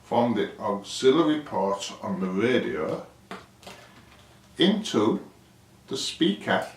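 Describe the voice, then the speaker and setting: A man's voice: fairly low pitch, lively intonation, takes it slowly. A man giving a casual, unscripted hands-on explanation as a DIY vlogger, rambling and thinking aloud as he goes. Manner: rambling, thinking aloud, asks himself questions. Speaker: DIY vlogger presenting his project. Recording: roomy, noisy, distant mic with clicks.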